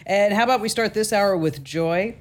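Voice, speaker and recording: A woman's voice: mid-range voice, normal speed. A woman hosting a call-in radio show, giving tech advice in a chatty, upbeat and reassuring way with many fillers.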